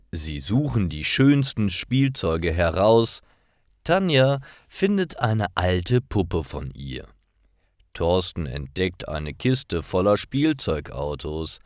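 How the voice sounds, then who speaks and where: A man narrating a scripted story for language learners, reading deliberately with careful enunciation. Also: deep voice, big pitch swings, dry recording, studio quality. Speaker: narrator of German learning stories.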